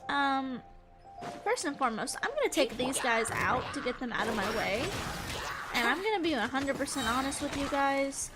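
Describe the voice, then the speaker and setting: A woman gives casual playthrough commentary in a high voice. She is chatty and thinks aloud as she goes, with game music clearly audible behind her. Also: animated, normal speed. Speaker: gaming video host.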